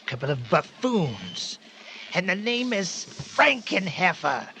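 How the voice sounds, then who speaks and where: An actor playing a grandiose, vengeful mad scientist in a radio drama, pompous and commanding. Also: male voice, high-pitched, very animated, slow pace, noisy background, heavily acted. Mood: sinister scheming.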